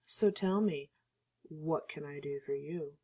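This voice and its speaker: A woman's voice: low-pitched, slow pace, warm tone, slightly rough, heavily acted. An actress playing a haughty, teasing vampire noblewoman in an audio drama, with a melodious, playfully mocking, predatory manner. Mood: amused curiosity.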